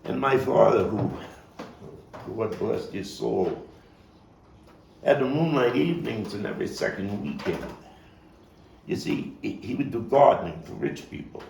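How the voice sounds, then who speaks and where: An actor playing a nostalgic, soul-searching Brooklyn man in a dramatic stage monologue, halting and repeating words as he moves between hushed and emphatic delivery. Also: fairly low pitch, lively intonation, vocal fry. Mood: wistful, tender. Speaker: playwright and actor. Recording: distant mic, roomy echo, faint noise.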